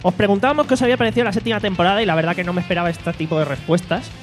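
A man's voice: high voice, steady tone, rapid-fire, faint music behind. A man hosting a casual podcast, reading out news and poll results in a playful, joking way. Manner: playful, joking, reads the segments. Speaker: podcast host. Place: studio away from home.

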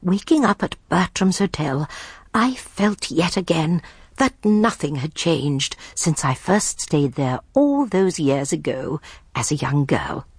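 A prim, inquisitive old lady in a radio play. Her voice is husky and fairly low, with a lilting, expressive range and a polite, measured manner. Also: no echo, full character voice. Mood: genteel, reflective. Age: older. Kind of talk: BBC radio drama acting and narration.

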